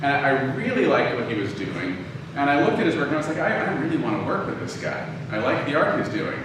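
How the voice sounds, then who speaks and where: A man giving an informal, conversational lecture, often halting and backtracking to rephrase as he tells personal anecdotes. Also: medium pitch, sing-song. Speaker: lecturer, audio drama writer and producer. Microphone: distant from the speaker. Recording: very echoey room, distant mic, clearly noisy.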